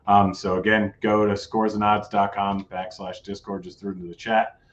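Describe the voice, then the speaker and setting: A man's voice: fairly low pitch, level tone, normal speed, lo-fi sound. A man hosting a casual sports betting show, talking through his picks in a laid-back way, his voice a bit worn. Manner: laid-back, rattles off odds. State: losing his voice.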